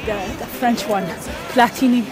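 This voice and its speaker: A woman's voice: fairly high pitch, fairly even intonation, crowd noise. Thoughtful woman from Ethiopia, music behind. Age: 30s. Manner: thoughtful, unhurried, frequent pauses and soft laughs. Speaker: interviewee, pickup soccer player. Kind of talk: casual interview storytelling.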